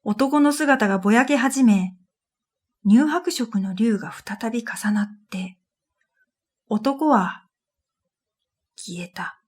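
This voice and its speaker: A woman reading a scripted first-person story in a reflective, measured way, as narrator of an audio drama. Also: on the high side, darkish tone, breathy edge. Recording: background music under the voice. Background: music.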